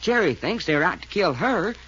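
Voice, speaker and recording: A folksy sidekick, husky and drawling, with lively swings in his speech. He talks in blunt slang, in 1940s radio drama style. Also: sits high, normal speed, heavily acted, low-quality recording. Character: folksy rough-spoken Texan sidekick named Doc.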